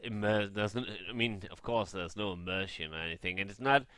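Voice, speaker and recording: A man with a crisp, bright voice talks casually as a gaming vlogger. He is halting and rambles as he reviews while playing. The sound is close and very dry. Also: fairly low pitch, no room sound. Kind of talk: casual game review chat over gameplay.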